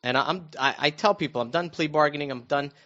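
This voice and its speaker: Dull-sounding American man with a higher-pitched voice. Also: fast, sharp tone. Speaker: radio show panelist recounting his own news. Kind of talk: casual conversational storytelling.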